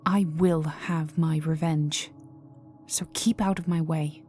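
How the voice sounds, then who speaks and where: A woman acts out an icy vampire noblewoman bent on revenge. Her voice sits fairly low and moves very slowly, imperious and threatening, often slipping into a whisper. It is a dark, intimate ASMR roleplay with music underneath. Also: fairly even intonation. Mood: grudging, vengeful. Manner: slow, menacing, imperious, formal, often dropping to a whisper. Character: cold vengeful aristocratic female vampire, young heiress in mourning.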